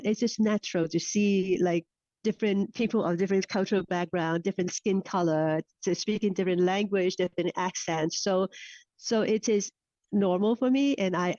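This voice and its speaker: Woman, halting and dry. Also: lowish voice, warm tone, no room sound. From Hong Kong. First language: Cantonese. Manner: halting, many restarts and repeated words. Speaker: guest. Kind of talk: casual interview chat. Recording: slightly dull, dry sound.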